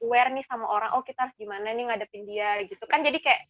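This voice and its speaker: A woman's voice: on the high side, very fast, dry recording, highs cut off. A young woman from Indonesia co-hosting a campus podcast in casual chat, thinking aloud with frequent fillers. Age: young adult. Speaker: podcast co-host.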